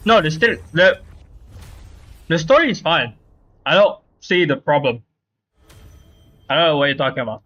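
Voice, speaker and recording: A man's voice: on the high side, very animated, normal speed, pauses often, sharp tone, lo-fi sound. A man chatting casually as he livestreams a video game. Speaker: live streamer.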